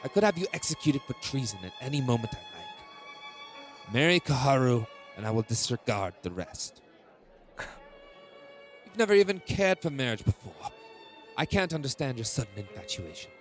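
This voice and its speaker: A man's voice: medium pitch, wildly swooping pitch, normal speed, pauses often. A man acting as a cold, domineering middle-aged king in a fantasy audio drama, commanding and contemptuous. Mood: cold, threatening. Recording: music behind the voice.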